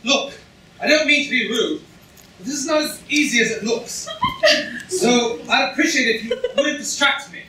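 Man playing a sharp, high-voiced masked hero. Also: animated, normal speed, full character voice. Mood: strained politeness. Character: dry, unflappable mysterious masked hero. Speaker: student actor in a staged reading. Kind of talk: theatrical staged reading. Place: lecture room stage before an audience. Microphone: far camera in the audience. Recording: echoey room, distant camera, noisy.

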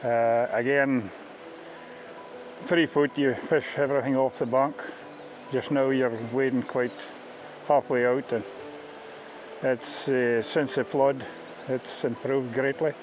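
Middle-aged Scottish male ghillie, unpolished and halting, explaining informally outdoors over light ambient noise. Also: mid-range voice, little pitch movement. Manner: halting, plain-spoken. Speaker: fishing ghillie on a river beat. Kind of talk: informal interview-style explanation of a fishing beat. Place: outdoors on a riverbank.

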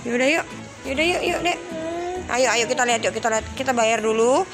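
A woman's voice: on the high side, a little fast. A woman in her thirties, a mother chatting to viewers and coaxing her kids while vlogging into a phone held out in a big indoor store. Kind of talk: casual family vlog. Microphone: phone held at arm's length. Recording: loud background music. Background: store music playing loudly.

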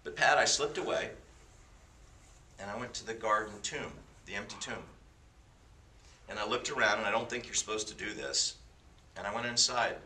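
A man's voice: fairly low pitch, moderate pace, pauses often, sharp tone, far from the mic. A man in his fifties leading a class with an informal sermon, mixing stories with jokey, self-deprecating asides. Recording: roomy sound from away from the mic.

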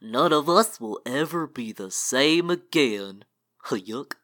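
A woman playing a smug, deadpan, self-assured crime boss. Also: very deep voice, slow pace, full character voice. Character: smug, mythical crime boss, low and unhurried.

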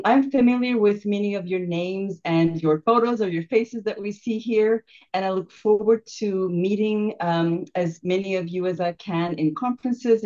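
A woman with a Turkish accent introduces herself informally as a company leader. Her pitch is on the low side. The sound is a bit dull and lo-fi, as heard through a video call microphone. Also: a little mellow. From Turkey. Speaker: incoming chief executive introducing herself. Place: online video meeting.

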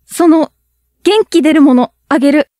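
A woman's voice: high-pitched, a little slow, warm tone, no room sound, clean studio sound. A young woman playing a friendly, easily flustered schoolgirl with a crush in a radio drama. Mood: shy. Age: young adult. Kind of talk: Japanese student radio drama acting.

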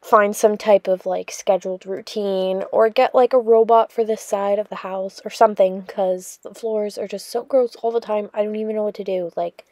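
A woman's voice: medium pitch, fairly even intonation, normal speed, few pauses, a little mellow, no echo. A young woman narrating her cleaning vlog in a chatty, rambling voice-over full of fillers. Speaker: cleaning vlogger.

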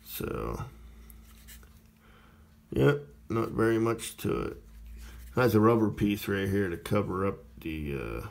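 A man's voice: fairly low pitch, sing-song, slow, creaky, pauses often. A man casually demonstrating a small radio at a table indoors, plainspoken and rambling with many fillers. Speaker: radio reviewer. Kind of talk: casual product demo.

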